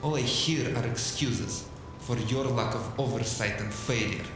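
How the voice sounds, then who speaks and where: A man's voice: mid-range voice, measured pace, noisy background, lightly acted. A man voicing an arrogant male corporate fixer who speaks coldly and with contempt. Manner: cold, contemptuous, clipped. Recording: echoey room with music behind.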